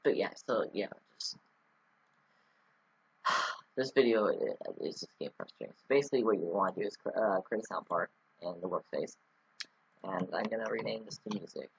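A man's voice: fairly low pitch, expressive, normal speed, gravelly, pauses often, slightly rough, dry recording, dull audio. A man talking through a casual screen-recorded coding tutorial, rambling with many ums and restarts. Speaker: scripting tutorial host.

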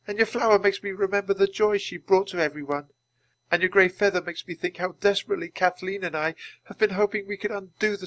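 A man voicing an earnest, emotional young boy wizard in a children's audiobook, remorseful and halting. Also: on the high side, a bit bright, dry recording, voice pushed up.

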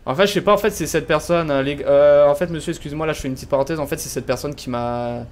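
A man's voice: on the high side, fast talker. A man streaming an online roleplay game, chatting casually in slang and protesting by repeating himself. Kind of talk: casual gaming chat with other players. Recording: background game noise. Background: video game ambience.

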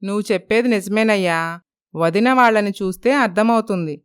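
A woman playing a sympathetic older village wife in an animated moral story, speaking with pity. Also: mid-range voice, fairly quick, clean tone, no echo, clean studio sound, lightly acted. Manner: pitying.

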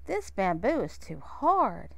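A woman voicing a shy, wistful young male polar bear in a children's storybook, hesitant and quiet in manner. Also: medium pitch, sing-song, slow, creaky, no echo, full character voice.

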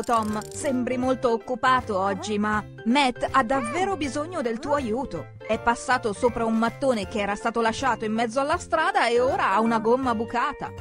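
A woman narrating a children's cartoon from a script, expressively, speaking straight to the characters. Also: fairly high pitch. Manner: expressive, addresses characters directly.

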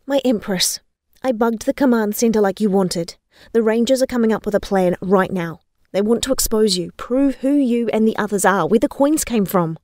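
Reluctant young informant for an actress: soft mellow timbre, subdued, guilt-ridden, audio drama, dry sound. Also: female voice, medium pitch, normal speed, no echo, lightly acted. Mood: subdued, reporting.

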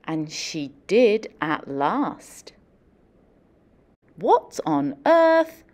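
A woman in her thirties speaking as a teacher or librarian, with an expressive, deliberate and encouraging storytelling style for young children. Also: mid-range voice, highs cut off.

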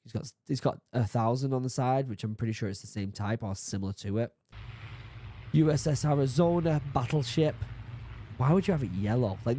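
A man in his thirties from the UK, a bit hoarse from being sick, chatting casually as he reacts to a video and reads labels aloud, excited now and then. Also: medium pitch. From United Kingdom. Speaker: YouTube reaction host. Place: home study with bookshelves. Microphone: studio mic on boom arm, headphones.

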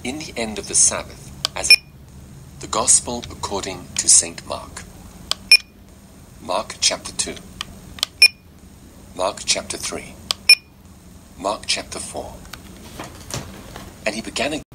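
Deep solemn male scripture narrator, noisy playback. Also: animated. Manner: measured, solemn. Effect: played through a small device speaker. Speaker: audiobook Bible narrator. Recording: small speaker re-recorded, loud noise.

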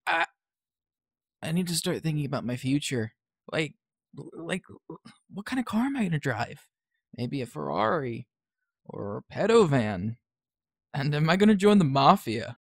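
A woman playing a sarcastic, foul-mouthed teenage boy in an audio drama, with a husky, deadpan delivery. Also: sits low, a little slow, long pauses, no room sound, clean studio sound, full character voice. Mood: dry, defensive. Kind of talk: podcast audio drama acting.

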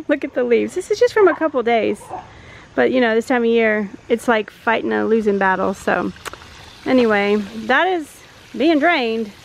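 A woman's voice: fairly high pitch, lively intonation, a little slow, gravelly, slight background noise. A woman in her forties with a Southern American accent, chatting casually to the camera from her kitchen, rambling with frequent little laughs. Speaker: home vlogger. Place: home kitchen and backyard. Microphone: handheld camera close up.

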